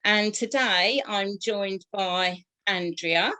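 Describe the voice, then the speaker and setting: A woman hosting a casual podcast chat as a tutor, introducing her guests in an unhurried way with frequent fillers. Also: medium pitch, sharp tone. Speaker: host and tutor.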